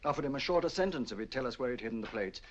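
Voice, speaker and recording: An actor playing a brisk, matter-of-fact police inspector in a 1940s film. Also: male voice, medium pitch, lively intonation, natural voice. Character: brisk male police inspector, middle-aged. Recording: dull lo-fi 1940s film soundtrack.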